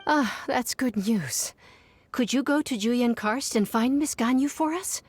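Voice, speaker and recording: A woman's voice: on the high side, measured pace, husky, no echo. Game role: overworked young female official. Raised register, polite, careful explainer. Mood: weary, relieved. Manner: courteous, formal, explanatory. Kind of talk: scripted video game dialogue.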